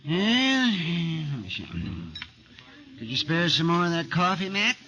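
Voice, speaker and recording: An actor playing a wry, crusty older town doctor in a 1950s radio western, with a dry, theatrical delivery. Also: male voice, sits high, sing-song, a little slow, sharp tone, full character voice. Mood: musing. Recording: 1950s radio recording with hiss.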